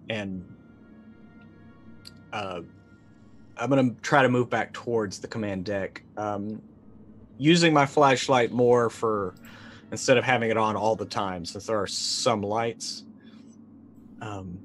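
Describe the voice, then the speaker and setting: A man in his forties playing a tabletop roleplaying game, casually narrating his character's actions and thinking aloud haltingly. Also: on the low side. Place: indoor room, online video call.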